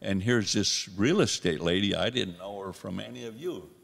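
A man's voice: fairly low pitch, normal speed, gravelly, a bit bright. An older man telling rambling, self-deprecating stories to a church congregation on a handheld mic. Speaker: guest singer sharing a testimony. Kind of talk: casual storytelling to a congregation. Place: church sanctuary. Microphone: handheld microphone. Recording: long hall reverb.

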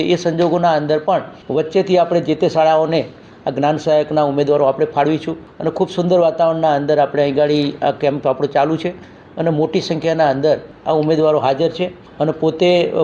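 A male official gives an informational statement with repetitive, formal phrasing. His tone is dark and relaxed, and the recording is dull with a little noise behind him. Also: fairly high pitch. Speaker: district education official. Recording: dull, lo-fi with faint background noise.

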